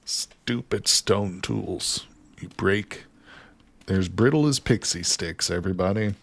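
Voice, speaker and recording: A man's voice: low voice, slow, creaky. A man live streaming a survival game, chatting casually and joking with his viewers as he plays. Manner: chatty, jokes with chat, greets viewers by name.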